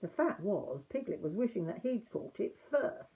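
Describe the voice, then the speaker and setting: An older woman narrates a children's storybook in an expressive storyteller's style. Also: mid-range voice, moderate pace. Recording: muffled, narrow phone-like audio.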